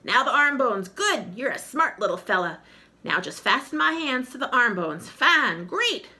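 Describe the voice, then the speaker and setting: A hungry skeleton, voiced by a woman, chatters away in a high, bouncing, cackling storybook voice. He wheedles with gleeful menace, bright and sharp at the edges. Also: big pitch swings, a little slow, exaggerated voice. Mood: coaxing. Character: ravenous cackling old male skeleton.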